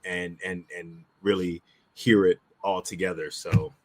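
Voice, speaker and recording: A man chatting casually as a show's director, in a halting way that circles back over phrases. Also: sits low, flat delivery, darkish tone, a little hiss or hum. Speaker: director, show regular. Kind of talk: casual chat.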